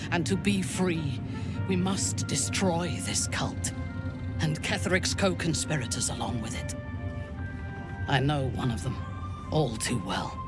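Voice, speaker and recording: A vengeful cold vampire. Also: male voice, medium pitch. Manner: measured, bitter, menacing. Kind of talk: video game cutscene acting. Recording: game audio with very loud score.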